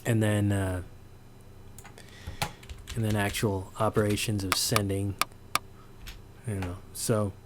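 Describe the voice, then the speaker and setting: Low-voiced man giving a halting tutorial. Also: a little hiss or hum. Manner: halting, thinking aloud. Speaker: sound designer giving a tutorial. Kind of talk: informal unscripted tutorial.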